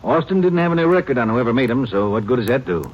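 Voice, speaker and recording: An actor playing a chatty, easygoing small-town county sheriff, in a 1950s radio drama. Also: male voice, medium pitch, animated, quick pace, a little hiss or hum, close to an everyday voice. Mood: folksy. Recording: dull old radio broadcast recording.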